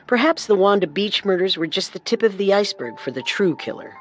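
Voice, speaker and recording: A woman co-hosting a scripted true crime podcast with dramatic, deliberate delivery. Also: mid-range voice, animated, slight background noise. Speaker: podcast co-host and narrator.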